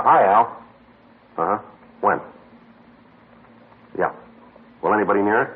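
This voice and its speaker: A terse, deep-voiced police sergeant. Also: male voice, breathy edge, heavily acted. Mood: businesslike. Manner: clipped, matter-of-fact delivery. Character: gruff male police sergeant narrator, terse. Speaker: police detective narrator. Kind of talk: 1950s radio drama narration. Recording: tinny old radio broadcast with music.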